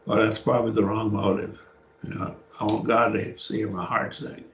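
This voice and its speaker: An older man with a raspy voice teaching a Bible study class, explaining words one by one and often trailing off softly. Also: lowish voice, level tone, moderate pace, far from the mic, a little hiss or hum. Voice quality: raspy after throat surgery. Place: church classroom. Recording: echoey room, dull lo-fi sound.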